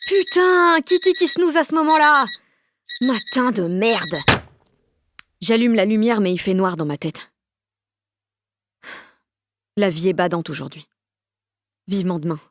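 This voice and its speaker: A woman's voice: medium pitch, lively intonation, measured pace, long pauses, no room sound, lightly acted. Woman playing a sullen, foul-mouthed French teenage girl. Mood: grumpy. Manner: sardonic, blunt, slangy, swearing often. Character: sullen, foul-mouthed sixteen-year-old French village girl narrating her life. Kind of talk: first-person audio fiction narration in teen slang.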